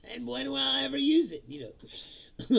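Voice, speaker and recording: A man's voice: extremely high pitch, sing-song, normal speed, vocal fry, a bit bright, lo-fi sound. A middle-aged man from Indiana chatting casually on a livestream, laughing often and slipping into mock voices.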